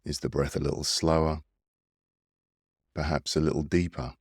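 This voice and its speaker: A man leading a guided breathing meditation in a soothing, gentle, unhurried manner. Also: extremely low pitch, flat delivery, husky, no echo, studio quality. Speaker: meditation guide and mindfulness coach.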